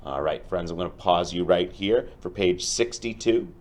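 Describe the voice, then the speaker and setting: A man teaching through a storybook read-aloud, speaking deliberately and explaining things directly to his pupils. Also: low voice, darkish tone.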